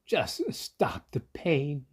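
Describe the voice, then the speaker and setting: A man's voice: fairly high pitch, huge pitch swings, drawn-out words, breathy edge, pitched above the performer's own voice, full character voice. A man voicing a sly male fox patient who whimpers and pleads in a storybook read-aloud. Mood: wailing, pleading.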